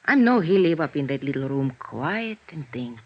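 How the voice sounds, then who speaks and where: An actress playing a kindly immigrant landlady in a vintage radio drama, speaking halting broken English with an Eastern European accent. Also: low voice, very animated. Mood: sympathetic. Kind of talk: 1950s radio drama acting. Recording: muffled vintage radio recording.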